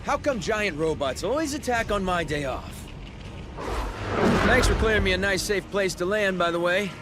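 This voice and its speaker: A man's voice: sits high, animated, moderate pace. An actor playing a cheeky, taunting male superhero in a video game, breezy and quippy. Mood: wry. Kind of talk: video game cutscene acting. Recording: loud music behind. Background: loud music.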